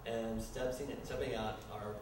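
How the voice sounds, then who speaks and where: A man narrating a staged screenplay reading in a loose, improvised, halting way, often stumbling over his words. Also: on the low side, little pitch movement. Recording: echoey room, distant mic, noisy.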